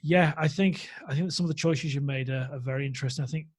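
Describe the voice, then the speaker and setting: A man's voice: fairly high pitch, fast talker. A man hosting a podcast interview, chatting casually and enthusiastically, often trailing off mid-sentence. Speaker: podcast host.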